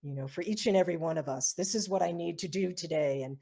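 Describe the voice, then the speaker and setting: A woman's voice: lowish voice, fast talker, gravelly, warm tone. A woman hosting an online interview, affirming her guest with frequent fillers and repeated words. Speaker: host of foundation interview.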